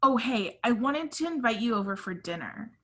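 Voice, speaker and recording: A woman playing an eager, lovestruck teenage girl who gushes excitedly in a comedy table read. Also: fairly high pitch, normal speed. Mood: gushing, lovestruck.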